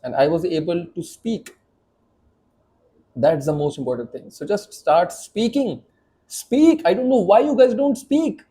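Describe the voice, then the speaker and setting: A man's voice: high-pitched, expressive, measured pace, warm tone. A man in his thirties with an Indian accent, a native Hindi speaker, giving an emphatic spoken English lesson to camera, repeating and restarting phrases as he pushes his point.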